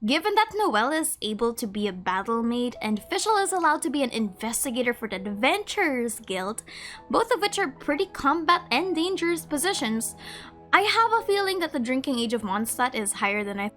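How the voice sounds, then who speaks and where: A woman's voice: high-pitched, sing-song, a little fast, fairly bright tone, faint music behind. A woman hosting a video with casual scripted narration. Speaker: video creator, host.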